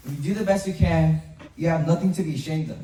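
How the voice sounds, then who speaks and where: A man's voice: on the high side, mellow, slight background noise. Earnest middle school boy, distant and roomy. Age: young teen, 7th grade middle school student. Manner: earnest, with pauses, some restarts. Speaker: student speaker. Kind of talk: memorized school speech to an assembly. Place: school assembly hall.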